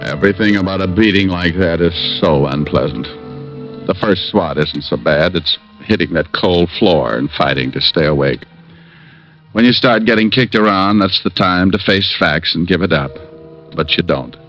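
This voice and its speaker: An actor playing a tough, wry, deadpan private detective in a hard-boiled 1950s radio drama. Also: male voice, low-pitched, moderate pace, faint music behind. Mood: wry narration. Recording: dull, faintly noisy old radio recording.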